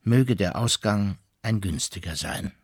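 An actor playing a stern middle-aged father in a radio drama, reading a formal letter in a measured, official manner. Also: lowish voice, slightly rough, dry recording, clean studio sound.

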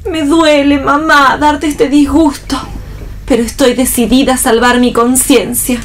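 A woman's voice: sits high, level tone, measured pace, a little hiss or hum. A woman acting an anguished, determined young daughter in a radio play, with solemn theatrical delivery. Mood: resolute, pained.

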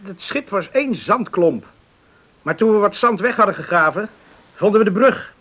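A friendly island beachcomber in a radio drama. His voice sits high for a man, and he talks earnestly, now and then hesitating like a shy suitor. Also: expressive, moderate pace, a bit bright, highs cut off. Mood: earnest, intrigued. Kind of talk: radio play acting.

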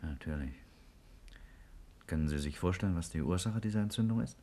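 An actor playing an anxious, restless scientist in a 1950s radio drama, asking terse, urgent questions. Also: male voice, low-pitched, a little fast, mellow, lightly acted. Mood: worried. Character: anxious, restless male scientist urging action. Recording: 1950s radio play with music.

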